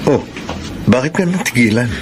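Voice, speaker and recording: A man's voice: mid-range voice, animated, normal speed, lightly acted. A man acting a wistful, lovelorn young man in a Filipino radio drama, earnest and emotive, with a Filipino accent. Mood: eager, curious. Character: lovelorn, wistful man pining for a taken woman. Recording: clearly noisy background.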